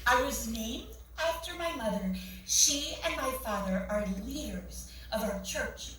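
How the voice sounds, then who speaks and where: A woman's voice: on the high side, lively intonation, measured pace, a bit bright. A woman in a staged play reading, playing a pious, zealous churchgoer with an earnest, preachy manner. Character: pious, zealous churchgoing woman, primly fanatical about purity. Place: small theatre, staged reading. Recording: echoey theatre, far mic, faint noise.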